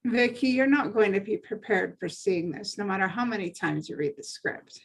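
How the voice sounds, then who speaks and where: A woman's voice: mid-range voice, animated, normal speed, vocal fry, slightly rough, poor audio. A woman speaking earnestly and conversationally in a group discussion.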